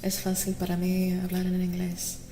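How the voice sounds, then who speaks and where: A woman streaming soft-spoken ASMR tarot readings, chatting in an unhurried, rambling way and often slipping into whispers. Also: lowish voice, completely flat delivery. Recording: roomy reverb with clear background noise.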